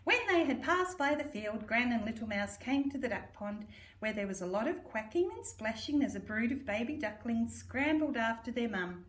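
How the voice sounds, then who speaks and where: Lively high-voiced Australian woman reading to children. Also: moderate pace. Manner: sing-song, affectionate, theatrical, addressing small children. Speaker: primary school story reader. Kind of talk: children's storybook read-aloud. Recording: roomy echo, distant microphone.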